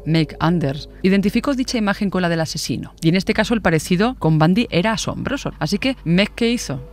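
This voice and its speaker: A woman telling a scripted true crime story as a podcast host, with rhetorical asides. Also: on the low side.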